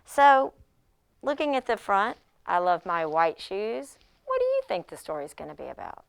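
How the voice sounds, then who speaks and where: A woman who teaches pre-K, demonstrating an interactive read-aloud in an expressive manner, as if speaking to young children. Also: medium pitch, normal speed, gravelly.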